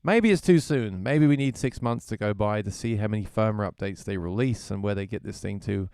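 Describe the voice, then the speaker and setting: A man's voice: lowish voice, fairly quick, darkish tone, clean tone, no room sound, studio quality. A man chatting casually on a livestream while demoing music gear, thinking aloud and often repeating words. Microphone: vocal mic through effects unit.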